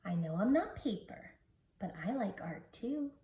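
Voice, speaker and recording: Small hopeful storybook stone, played by a woman reading aloud; earnest, eager to help, mellow, roomy distant recording. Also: mid-range voice, lively intonation, a little slow. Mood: hopeful, kind.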